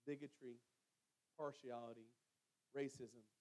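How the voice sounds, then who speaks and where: A man preaching a sermon as storytelling and scripture reading, using emphatic pauses and repetition. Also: medium pitch, fairly even intonation, darkish tone, low-quality recording.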